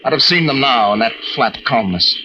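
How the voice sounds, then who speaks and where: An actor playing a rugged, devoted lighthouse keeper in a dramatic, earnest old radio drama style. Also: male voice, medium pitch, animated, fairly quick, pushed and bright. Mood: somber, haunted. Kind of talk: 1940s-50s radio drama acting, narration and dialogue. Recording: dull old radio recording with background noise.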